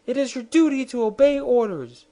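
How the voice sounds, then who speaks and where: Man doing a shrill haughty queen. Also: lively intonation, normal speed, a little mellow. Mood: commanding. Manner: shrill and scornful.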